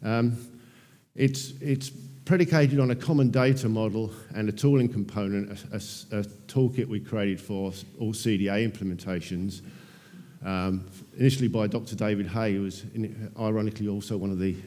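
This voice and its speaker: A man in his fifties with an English accent, giving a relaxed technical conference talk full of ums and dry self-deprecating asides. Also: on the low side, moderate pace. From England. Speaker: conference presenter. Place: large conference hall. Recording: long hall reverb.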